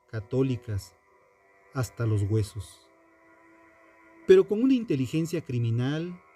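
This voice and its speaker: A man narrating scripted true crime in a solemn, deliberate, dramatic way, pausing between short phrases. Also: mid-range voice, mellow.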